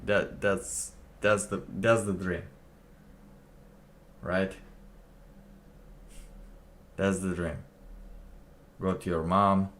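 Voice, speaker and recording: A man streaming alone, reacting to a clip with slow, musing casual commentary and laughing to himself. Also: sits low, little pitch movement, a bit bright.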